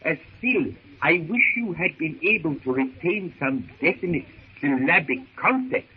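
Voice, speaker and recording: An actor playing a fussy, bumbling old professor in a 1930s radio serial, stammering through pompous, formal phrases. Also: male voice, a little high, big pitch swings, measured pace. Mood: pedantic, earnest. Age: older. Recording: muffled, hissy 1930s radio recording.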